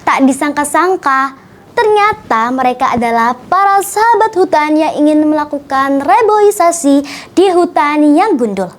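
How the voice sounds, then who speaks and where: A young female storyteller, reciting a children's tale in an expressive, deliberate, theatrical way. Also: sits high.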